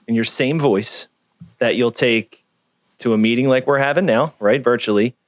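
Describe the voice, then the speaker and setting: A man being interviewed over a video call, talking in an energetic, emphatic, storytelling way. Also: mid-range voice, no room sound, clean studio sound. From Philadelphia area. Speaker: guest, video producer.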